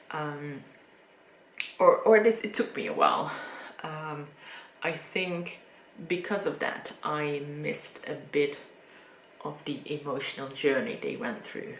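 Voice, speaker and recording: A woman speaking English, casually reviewing books in a thoughtful, hesitant way with frequent pauses. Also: sits low, creaky, fairly bright tone. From Netherlands. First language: Dutch. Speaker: book reviewer. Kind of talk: casual book review vlog. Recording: roomy echo, faint background noise.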